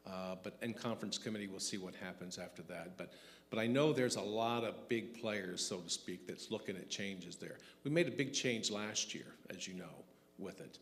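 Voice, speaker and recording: Lowish-voiced man in a reverberant hall. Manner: halting, hedging explanation. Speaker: state legislator. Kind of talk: answering questions at a public forum. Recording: reverberant hall with faint background noise.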